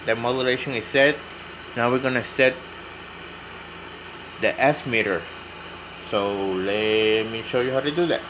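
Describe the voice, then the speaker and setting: A man's voice: mid-range voice, a bit bright. Man giving a hands-on tutorial, noisy room. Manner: unscripted, step-by-step, repeats himself. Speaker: hobbyist demonstrating CB radio repair. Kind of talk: casual hands-on tutorial talk.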